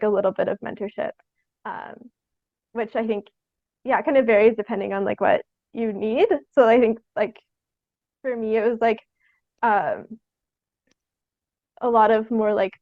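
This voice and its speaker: Higher-voiced young woman, muffled phone-like line. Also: dry recording. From Canada. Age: young adult. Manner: halting, tentative, thinks aloud with frequent "like". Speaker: guest theatre artist. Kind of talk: casual interview conversation.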